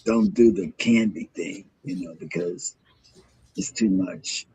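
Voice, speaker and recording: A man hosting a morning show in casual, rambling chat, with a throaty, hoarse voice from a sore throat. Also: lowish voice, steady tone, slow, mellow, rough recording.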